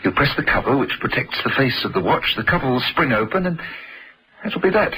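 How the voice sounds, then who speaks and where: A gloating, unhinged clockmaker assassin in a radio thriller. His bright, sharp voice swings widely as he admires his own cleverness and savours every menacing phrase. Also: mid-range voice, very animated, fairly quick, slightly rough, full character voice, low-quality recording. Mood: gloating, sinister.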